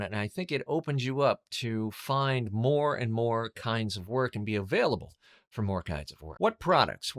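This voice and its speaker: Animated male coach, very varied pitch. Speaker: host, voiceover business coach. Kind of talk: instructional monologue to viewers.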